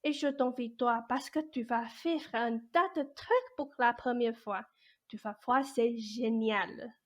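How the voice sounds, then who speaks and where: A woman's voice: on the high side, takes it slowly. A woman acting as a jaded, ancient immortal fairy godmother in a radio play, blunt, colloquial and mischievous. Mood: weary, nostalgic. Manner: blunt, colloquial, world-weary. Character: jaded 874-year-old immortal fairy godmother, grumbling but mischievous.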